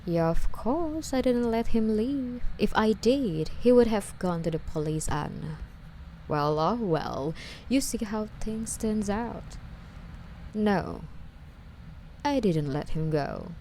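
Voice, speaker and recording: Obsessive, lovesick young female stalker played by a woman for ASMR roleplay: coy and wheedling, slow, with creaky fry. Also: mid-range voice, expressive, dark tone, slight background noise, rough recording. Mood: coy, defensive. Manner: coy, wheedling, hesitant, sighing delivery.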